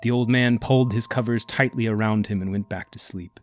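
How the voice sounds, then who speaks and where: A man reading a scripted ghost story as narrator, dramatic and at times hushed. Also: lowish voice, fairly even intonation, brisk.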